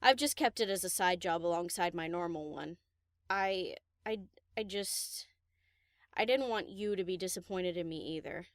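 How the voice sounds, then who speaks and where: A woman's voice: fairly low pitch, moderate pace, no room sound, studio quality, lightly acted. A woman voicing a kind-hearted, vulnerable female pony DJ in an audio drama, talking informally and hesitantly. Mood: hurt, hesitant.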